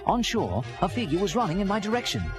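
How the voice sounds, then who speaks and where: A man's voice: sits high, fast talker. An actor playing an earnest castaway adventurer who tells his story theatrically, in the manner of a dramatized children's read-along. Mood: alarmed, excited. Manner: animated, theatrical delivery. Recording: vintage record with music behind. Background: music.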